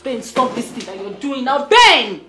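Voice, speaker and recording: A woman's voice: sits high, big pitch swings, moderate pace, sharp tone, soft background music, heavily acted. A woman with a Nigerian accent acting a pushy, coaxing wife in a screen drama, insistent in the way she talks. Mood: pleading. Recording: echoey room with faint noise.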